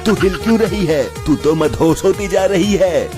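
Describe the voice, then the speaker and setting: A man acts out a swaggering cartoon ladies' man. His voice sits fairly high, teasing and boastful. Music plays clearly behind him. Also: animated, unhurried. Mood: bragging. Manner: bragging, teasing. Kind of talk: cartoon comedy voice acting.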